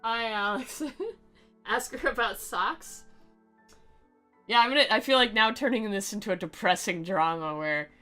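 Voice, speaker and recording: A woman's voice: mid-range voice, unhurried, long pauses, pushed and bright, smooth, faint music behind. A woman giving sarcastic commentary while reading a visual novel aloud in a mock-dramatic way. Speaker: game commentator and reader.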